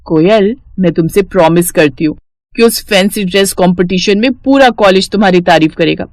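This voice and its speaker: A woman's voice: on the low side, moderate pace, a little mellow, dry recording, studio quality, close to an everyday voice. A woman voicing a kind, principled young sister-in-law in a cartoon story, earnest and reassuring. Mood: encouraging. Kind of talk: animated storybook cartoon dialogue.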